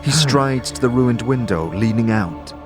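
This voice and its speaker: A man's voice: mid-range voice, expressive, a little slow. A man delivering dramatic, scripted fantasy narration as the narrator of an audio drama. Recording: loud music behind the narration.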